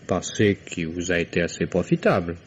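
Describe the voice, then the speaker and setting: A young investigator in a vintage radio drama, pressing his case formally and methodically. The lowish voice comes through a dull recording with faint noise. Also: big pitch swings, normal speed. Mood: accusing. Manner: formal, pointed. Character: young male investigator, insistent, methodical accuser. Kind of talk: old-time French radio mystery acting.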